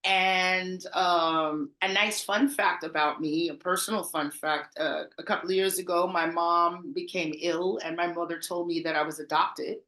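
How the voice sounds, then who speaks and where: Middle-aged Bronx woman, lowish voice, animated storyteller, echoey room. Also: measured pace, hardly any pauses. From the Bronx, New York. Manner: animated, chatty, laughs often, trailing restarts. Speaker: party promoter, interview guest. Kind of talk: casual interview storytelling.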